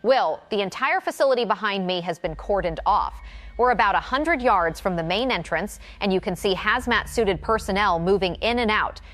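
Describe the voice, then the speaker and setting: A woman's voice: medium pitch, animated, moderate pace, fairly bright tone, lightly acted. A woman playing a grave, composed TV news reporter delivering an on-scene report in an audio drama. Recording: music bed under the voice.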